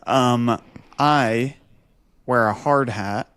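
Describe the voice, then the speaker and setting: A man co-hosting a podcast in casual comic banter, telling stories in a mock-serious, boastful deadpan. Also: mid-range voice, drawn-out words, clear, resonant tone, no echo, studio quality. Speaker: podcast co-host.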